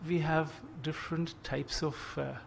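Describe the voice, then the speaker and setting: An older man delivers a formal keynote address in a courteous, measured way, pausing often to search for words. His voice is slightly high for a man. A faint hiss of noise sits under the recording. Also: level tone, creaky. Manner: measured, courteous, with frequent pauses. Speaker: keynote speaker, retired judge.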